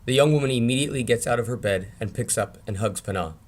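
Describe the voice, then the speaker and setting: A man's voice: mid-range voice, quick pace. A man reading screenplay scene descriptions as narrator in a table read.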